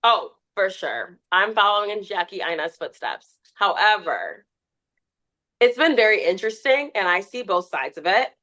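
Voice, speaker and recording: A woman's voice: medium pitch, moderate pace. A woman in her twenties, a guest on a podcast, chatting candidly and acting out quotes as she tells stories. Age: 20s. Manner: candid, animated storytelling with quoted asides. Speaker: podcast guest, TikTok creator. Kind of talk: casual interview chat.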